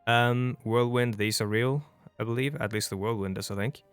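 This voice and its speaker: A man's voice: medium pitch, fairly even intonation, normal speed, clean tone, no room sound. A young man from Norway with a Norwegian accent, whose first language is Norwegian, giving casual, chatty gameplay commentary as a let's play host and often stopping to restart his sentences. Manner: chatty and rambling, with frequent restarts. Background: faint background music.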